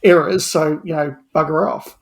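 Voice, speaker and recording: A man's voice: a little high, steady tone, normal speed, creaky. A man speaking as a podcast guest in an opinionated discussion, stressing his points by repeating words and phrases. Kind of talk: animated opinionated panel discussion.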